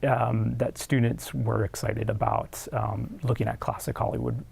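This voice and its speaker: Gravelly, husky-voiced male professor. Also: mid-range voice, little pitch movement, moderate pace, a little mellow. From United States. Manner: hesitant, many fillers and restarts. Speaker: film studies professor. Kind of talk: academic interview.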